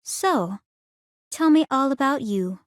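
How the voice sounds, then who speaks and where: An actress voicing a calm, clinically curious young woman in a video game, speaking in a formal, measured, coolly teasing way. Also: sits high, no room sound, studio quality. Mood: cool, inquisitive. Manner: composed, measured, formal, inquisitive. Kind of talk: scripted video game character voice lines.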